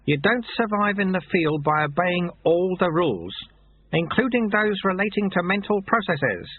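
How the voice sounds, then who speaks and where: A man's voice: high voice, animated, a little hiss or hum, pitched above the performer's own voice. A man doing a cheerful little old monk. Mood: amused, wise. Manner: amiable, unhurried, gently teasing. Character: cheerful little old bald male temple sweeper. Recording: tinny phone-like sound.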